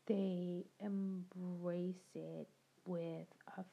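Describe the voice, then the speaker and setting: A woman's voice: fairly low pitch, little pitch movement, extremely slow, creaky. A young adult woman hosting a podcast, telling her own story in a reflective, conversational way and laughing now and then. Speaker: podcast host. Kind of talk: personal storytelling monologue. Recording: dull lo-fi sound.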